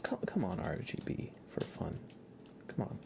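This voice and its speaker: A man livestreaming a game in ASMR style, softly whispering in a relaxed, casual way as he thinks aloud and chats with viewers. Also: moderate pace, background noise. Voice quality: soft, breathy whisper.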